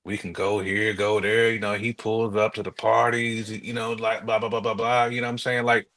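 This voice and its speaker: Podcast co-host: American man, slightly deep voice, informal street-smart phrasing, animated storyteller who voices little quotes. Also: fairly quick. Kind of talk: casual chat.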